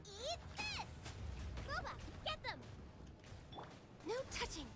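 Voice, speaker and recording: A woman voicing a feisty, playful young girl fighter in an anime-style video game, with short punchy battle shouts. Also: very high-pitched, huge pitch swings, slow pace, full character voice. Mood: fierce, playful. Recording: game audio under loud music.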